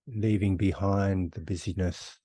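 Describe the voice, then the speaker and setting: A man leading a guided meditation as a teacher, unhurried, giving one short phrase at a time with long pauses. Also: low voice, steady tone, dark tone, dry recording.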